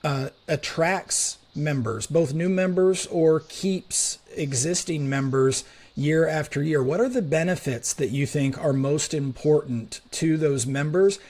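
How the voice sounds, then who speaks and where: A man hosting an interview, asking measured, formal questions. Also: a little high, darkish tone. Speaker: interviewer, host.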